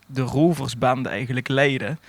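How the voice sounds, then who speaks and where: A man's voice: medium pitch, a little slow, vocal fry. A man with a Dutch Limburg accent, co-hosting a podcast with casual chat and informal storytelling. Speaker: podcast co-host.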